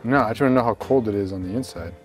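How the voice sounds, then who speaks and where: A man co-hosting in casual banter while he cooks steaks outdoors, playful and quick to laugh. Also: fairly low pitch, faint music behind. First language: Spanish. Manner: playful, laughs often, animated. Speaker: co-host cooking steaks. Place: outdoor backyard kitchen.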